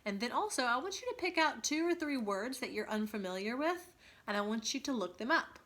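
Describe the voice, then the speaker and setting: A woman teacher reading a story aloud expressively to students, pausing to ask them questions. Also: a little high, expressive, fairly bright tone.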